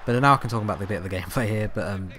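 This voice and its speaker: A young man with a hoarse, fading voice, chatting casually over his football game footage, rambling with lots of ums and restarts. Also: fairly low pitch, rapid-fire, a bit bright, a little hiss or hum. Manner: rambling, filler-heavy, self-correcting. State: hoarse, coughing, voice going. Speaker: gaming YouTuber. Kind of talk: casual gameplay commentary.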